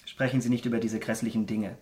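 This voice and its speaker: An actor playing a vain, callous young aesthete in a German radio drama, eloquent and theatrical. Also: male voice, on the low side, steady tone, fast talker, a little mellow, lightly acted. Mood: airy, dismissive. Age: young adult.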